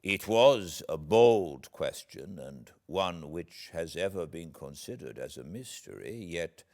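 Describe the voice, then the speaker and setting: A British man reading a classic novel aloud as an audiobook narrator, in a measured, theatrical and expressive way with deliberate pauses. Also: deep voice, gravelly. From Britain.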